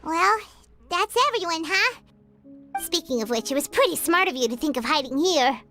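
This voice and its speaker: A very high, squeaky little companion creature from a video game. The voice is childlike, perky and cheeky, with a bright edge. Soft music plays behind it. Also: female voice, animated, normal speed.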